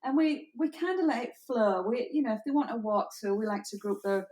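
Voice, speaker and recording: A woman's voice: on the high side, animated, fairly quick, a little mellow, low-quality recording. A woman, a wedding photographer speaking casually with a slightly hesitant, easygoing manner. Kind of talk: casual chat.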